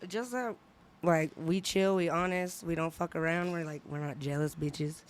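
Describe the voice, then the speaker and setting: A woman's voice: lowish voice, moderate pace, slight background noise. A woman guest with a Texas accent, laid-back in casual chat. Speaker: podcast guest.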